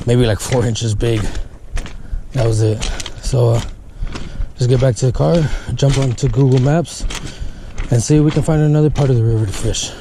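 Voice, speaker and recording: A man's voice: medium pitch, mellow, a little hiss or hum. A man talking casually to camera as a fishing vlogger, laid-back and rambling, sometimes congested. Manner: laid-back, rambling, says "guys" often. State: congested, stuffed sinuses. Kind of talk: casual vlog talk to camera. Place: outdoors by a river.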